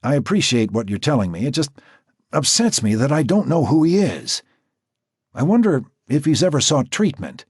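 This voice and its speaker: A man's voice: medium pitch, big pitch swings, moderate pace, darkish tone, slightly rough, no echo, clean studio sound, voice pushed up. A man reading an audiobook, voicing a grim male cop who speaks bluntly and tersely.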